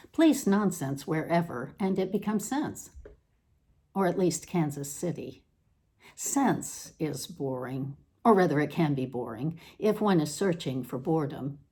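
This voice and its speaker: Expressive low-pitched woman reading a literary essay. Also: husky. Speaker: reader.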